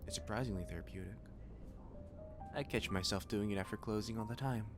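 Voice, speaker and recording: A man playing a calm, thoughtful catboy cafe waiter in an ASMR roleplay, talking gently and reassuringly to the listener. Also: on the low side, brisk, close to an everyday voice. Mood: soothing. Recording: music behind the voice. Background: clear background music.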